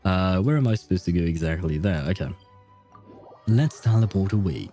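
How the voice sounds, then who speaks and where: A man's voice: low voice, animated, normal speed, dark tone, faint music behind. A young man casually commenting on his gameplay, chatty, laughing often and swearing. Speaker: game streamer.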